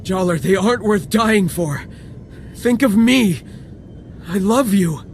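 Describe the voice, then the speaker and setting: An actor playing a desperate, sick villager and devoted husband in a video game, emotional and faltering. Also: male voice, high-pitched, expressive, slow, a little hiss or hum, heavily acted. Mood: pleading. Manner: pleading, stammering, emotional. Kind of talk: video game dialogue acting.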